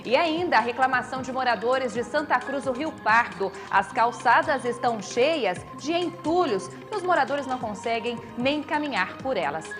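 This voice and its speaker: A woman's voice: sits high, lively intonation, normal speed, few pauses. A Brazilian woman presenting a scripted news teaser for online viewers. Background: music.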